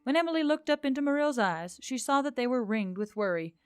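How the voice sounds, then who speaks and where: Higher-pitched woman narrator over music. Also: expressive, brisk, smooth, no echo, clean studio sound. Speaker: audiobook narrator. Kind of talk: scripted story narration. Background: background music.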